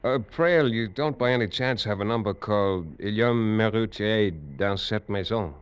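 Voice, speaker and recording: Guy acting as a low deep voiced old-time radio detective, smooth n probing, sizing everyone up. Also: moderate pace, muffled recording, close to an everyday voice. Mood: needling, cool. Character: shrewd male police detective, suave, probing, undercover among suspects.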